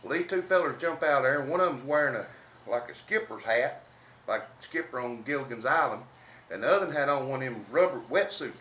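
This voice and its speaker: A man's voice: medium pitch, lively intonation, fairly quick. A man with a Southern US accent telling humorous stories in a folksy, salty, colloquial way. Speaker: comedic storyteller. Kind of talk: informal humorous storytelling. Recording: roomy, distant mic, faint noise, dull sound.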